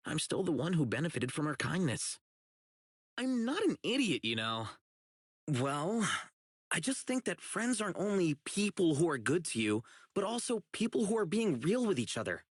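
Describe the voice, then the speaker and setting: A man's voice: a little high, breathy edge, no room sound, studio quality. An actor playing a blunt, earnest young policeman who is socially oblivious, in video game voice lines. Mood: regretful, thoughtful, then sincere, awkward. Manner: blunt, earnest, literal-minded. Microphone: close studio mic, isolated dry voice track.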